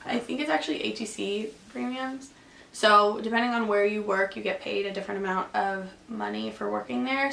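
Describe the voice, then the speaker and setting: A woman's voice: mid-range voice, gravelly, a bit bright. A young woman from Canada working as an air traffic controller, answering viewer questions in a casual, chatty way with plenty of fillers. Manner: chatty, lots of fillers and likes. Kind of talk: casual Q and A vlog. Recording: echoey room, faint background hum.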